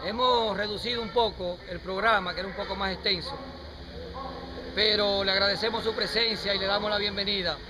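A middle-aged Dominican man with a Dominican Spanish accent giving an earnest, measured welcome speech at an outdoor ceremony. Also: high voice, fairly even intonation. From Dominican Republic. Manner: earnest, measured, speaking unamplified to a crowd. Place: outdoor plaza in rain. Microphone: handheld camera nearby. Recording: noisy outdoor street recording. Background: street traffic noise.

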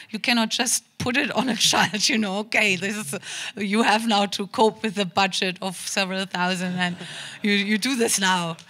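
Bright-voiced woman on a stage panel. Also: medium pitch. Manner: thoughtful, frequent restarts, laughs between points. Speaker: panel guest, theatre founder. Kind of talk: panel discussion answer. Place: stage in a large auditorium. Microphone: boom mic on stand.

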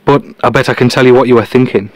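A man playing a cocky, teasing genius detective in an audio drama, deadpan and matter-of-fact. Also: mid-range voice, quick pace, dry recording, natural voice, low-quality recording. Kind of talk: podcast-style audio drama dialogue.

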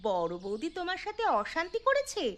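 A woman's voice: very high voice, wildly swooping pitch, fairly quick, fairly bright tone, slight background noise, exaggerated voice. A woman voicing a polite, welcoming younger daughter-in-law in a cartoon story, eager and solicitous. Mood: surprised, welcoming.

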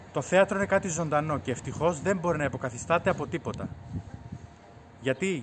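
A man's voice: fairly high pitch, fairly bright tone. A man in his thirties giving a scripted message outdoors in a city. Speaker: actor. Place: open outdoor park in a city. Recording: noisy outdoor ambience.

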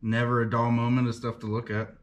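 A man's voice: mid-range voice, completely flat delivery, brisk. Man giving short easygoing replies. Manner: brief agreeing replies. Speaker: co-host friend. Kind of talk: casual chat.

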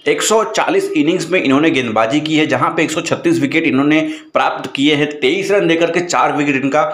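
A man's voice: fairly high pitch, brisk, fairly bright tone. Chatty man with a raised voice in an echoey room. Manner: chatty, keeps addressing viewers as friends, repeats stock phrases. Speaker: cricket channel presenter. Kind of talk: voice-over commentary for an online video. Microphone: voice-over, not recorded in pictured place.

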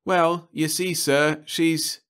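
A man's voice: on the high side, level tone, slow pace, fairly bright tone, clear, resonant tone, pitched above the performer's own voice. A man voicing an earnest, polite young man who apologizes respectfully. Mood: apologetic.

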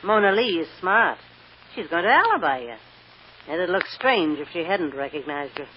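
A woman's voice: on the low side, sing-song, moderate pace. An actress playing a domineering, suspicious female minder in an old-time radio drama, interrogating in a menacing way with condescending, falsely motherly endearments. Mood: cool, pressing. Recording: muffled old radio recording with hiss.

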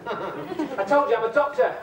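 A flustered young British man who stammers and keeps his voice low as he bluffs his way along. His pitch jumps around anxiously. The sound is distant and buried in heavy hiss, like an old 1970s TV soundtrack. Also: animated, moderate pace, a loud crowd. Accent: British English. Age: young adult. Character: nervous young man posing as a doctor. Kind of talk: 1970s sitcom acting.

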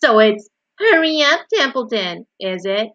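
A sarcastic old rat, as a woman storyteller puts him on, grumbles loudly and mockingly. He is sour and full of self-pity, recorded dry and a little muffled. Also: medium pitch, level tone, normal speed, dry recording, close to an everyday voice.

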